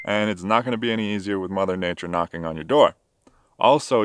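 Man, 30s, slightly low voice, friendly how-to tips, outdoor campsite, arm's-length camera. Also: fairly bright tone, dry recording. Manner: friendly, direct how-to delivery. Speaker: motorcycle camping vlogger. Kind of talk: scripted tips vlog. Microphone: camera held at arm's length.

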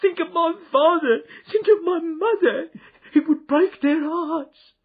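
Panicked young thief, high and shrieking, husky edge, lo-fi. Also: male voice, animated, normal speed. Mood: desperate pleading. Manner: shrieking, desperate, sobbing. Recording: dull lo-fi recording.

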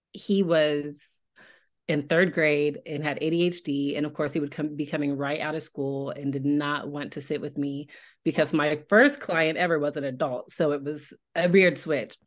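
A woman's voice: sits low, steady tone, moderate pace. An American woman telling her story casually as a podcast interview guest. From Tulsa, Oklahoma, United States. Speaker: podcast guest, speech-language pathologist.

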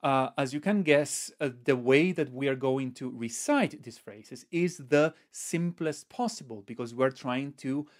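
A man in his fifties giving a methodical, step-by-step lesson to camera as a music teacher, pausing as he explains. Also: medium pitch. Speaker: music teacher, online video host.

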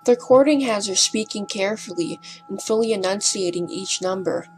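A woman narrates an amateur documentary from a script, speaking carefully with a slight hesitancy and some breathiness. Her voice sits at a middling pitch, and music plays behind her. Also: brisk, a little mellow, poor audio.

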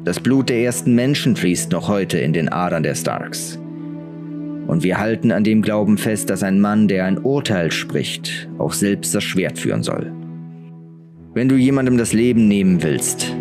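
A man voicing a solemn, stern but kindly noble father who teaches gravely, in a fantasy audiobook. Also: low voice. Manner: grave, instructive.

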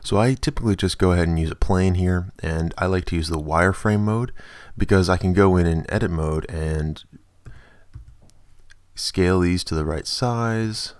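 A man narrating a software tutorial as its host, explaining things in a casual, informal way. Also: low voice, dry recording, clean studio sound.

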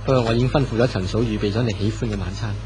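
An actor with a Hong Kong Cantonese accent playing a gentle, steadfast, protective man in a 1970s radio drama, speaking tenderly and without hurry. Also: on the low side, rapid-fire, background noise, close to an everyday voice. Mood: reassuring.

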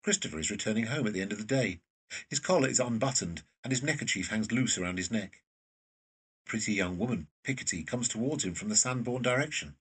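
A man's voice: mid-range voice, breathy edge, recorded from a distance. A man reading scripted narration of scene directions for an audio drama.

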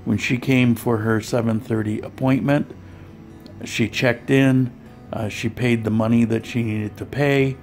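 A man narrating his vlog as a voice-over, relaxed and conversational, with frequent fillers and restarts. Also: mid-range voice, measured pace, soft background music. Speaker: vlogger. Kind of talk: voice-over narration of a clinic visit.

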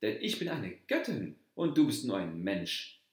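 A man reading aloud and voicing a proud heavenly goddess wife, lifting his voice high and loud. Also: huge pitch swings, unhurried, echoey room. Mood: indignant.

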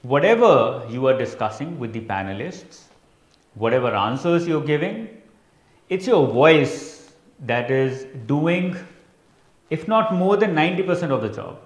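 A young man with an Indian accent giving an instructional talk as a coach, stressing keywords emphatically and pausing deliberately. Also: a little high. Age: young adult. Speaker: interview coach. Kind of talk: instructional lecture to camera. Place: indoor studio in front of a digital whiteboard. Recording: roomy echo.

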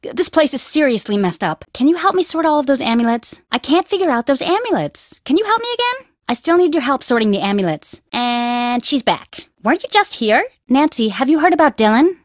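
A woman playing an anxious young dig worker in a video game, expressive and conversational. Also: high voice, fairly quick, no echo, clean studio sound. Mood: brisk, casual.

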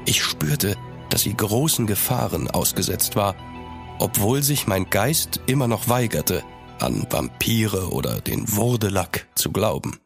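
A man acting an ardent, courteous gentleman traveller in a German gothic audio drama, earnest and measured. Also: lowish voice, expressive, vocal fry, soft background music. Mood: grave, narrating.

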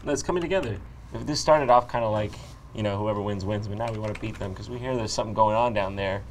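A man in his thirties hosting a casual behind-the-scenes video in an office studio, jokey and animated. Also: on the low side, fast, a little hiss or hum. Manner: animated, jokey, bursts into excited exclamations. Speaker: YouTube host.

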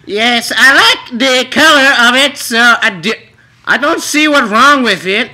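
A man acts out a naive immigrant wife on a prank call. The voice is high and very sharp, slow and halting. She presses on insistently in broken English. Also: full character voice. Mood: eager.

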